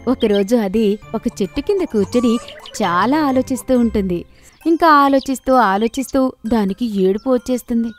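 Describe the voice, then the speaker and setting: A woman narrating a children's animated moral story in a gentle, steady storytelling voice. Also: fairly high pitch, moderate pace, soft background music.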